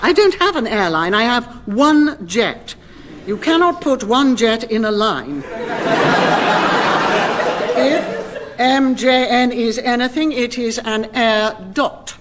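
A woman's voice: fairly high pitch, animated, slow, very noisy. An actress playing an imperious, sarcastic middle-aged English woman who runs an airline in a radio sitcom. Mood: scornful. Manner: withering, sarcastic, bossy.

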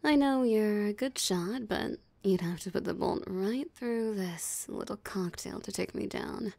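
Slow, gravelly, smug female vampire, acted by a woman. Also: medium pitch, a little mellow, no echo. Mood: mocking, amused. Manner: teasing, drawling, theatrically smug, breathy asides. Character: possessive, mocking female vampire toying with a hunter. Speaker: voice actor. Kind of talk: ASMR roleplay audio drama.

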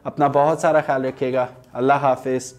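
A man's voice: mid-range voice, level tone, a little fast, a little hiss or hum. A man, reviewing motorcycle grips in a chatty, persuasive way and mixing in English phrases, seated in a small indoor studio room. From Pakistan. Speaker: product reviewer. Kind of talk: casual product review.

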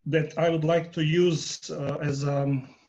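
A man in his fifties, a native Croatian speaker, giving a workshop talk on a video call from home, pausing often with fillers. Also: a little high, level tone, darkish tone, highs cut off. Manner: halting, many filler pauses, polling the audience. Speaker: workshop presenter. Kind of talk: online conference talk. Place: home room. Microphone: earphone headset on a video call.

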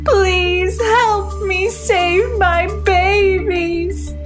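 A woman voicing a frantic mother bird who cries out high and pleading for her babies. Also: fairly even intonation, extremely slow, over music, exaggerated voice. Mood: desperate.

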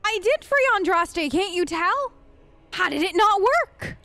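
A woman hosting a casual role-play game video, expressive and chatty as she talks to the characters. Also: very high voice, measured pace, no room sound. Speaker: gaming video host. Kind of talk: in-character playthrough commentary, casual.

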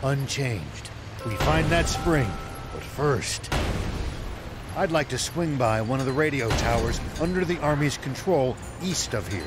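An actor playing a weary, noble old former general in a video game, speaking in a measured, formal and gravely earnest way. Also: male voice, medium pitch, lively intonation, music in the background, natural voice. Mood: resolute, commanding. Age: older.

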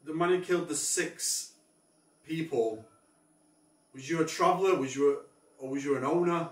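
A man, an investigator thinking aloud in hushed, then excited, bursts inside a dark, empty pub. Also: fairly high pitch, normal speed, far from the mic. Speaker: paranormal investigator. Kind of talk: casual on-location commentary. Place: dark abandoned pub, indoors. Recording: echoey room, heard from afar.

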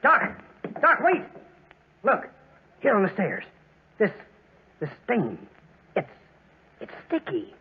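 An earnest, protective man in a vintage radio play. His voice is high and very rough, swinging widely with urgent concern. The recording is narrow, like an old phone line. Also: huge pitch swings, takes it slowly, long pauses, full character voice. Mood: alarmed. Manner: urgent, emphatic, solicitous. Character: earnest, protective small-town professional, old friend. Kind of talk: 1940s radio drama acting.

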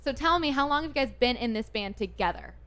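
A woman's voice: on the high side, animated, fast talker, fairly bright tone. Bubbly young woman radio host. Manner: bubbly, enthusiastic, laughs often. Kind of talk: upbeat radio interview. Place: radio studio. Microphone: studio mic on arm, headphones.

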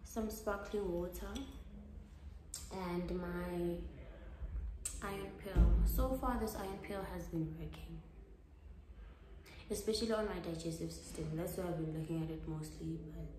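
A woman's voice: fairly low pitch, a little slow, long pauses, mellow, slight background noise, rough recording. A young South African woman chatting casually to camera in a vlog, sounding tired and mixing English with isiZulu phrases. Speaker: vlogger. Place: in a car, later at home indoors.

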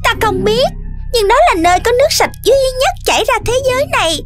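Cartoon fish, small and sassy: very high, swooping, theatrical complaints, light music behind. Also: expressive, quick pace, a bit bright. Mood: earnest. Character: sassy, dramatic little talking fish from fairyland. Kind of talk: animated children's cartoon dubbing.

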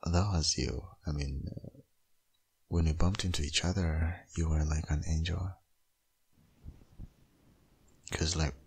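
A man playing a tender, wistful young father still in love with his ex in a boyfriend ASMR roleplay, speaking hesitantly in an intimate, husky, gravelly murmur. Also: very deep voice, fairly even intonation, normal speed, a little mellow, heavily acted. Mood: tender, nostalgic. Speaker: audio roleplay voice actor.

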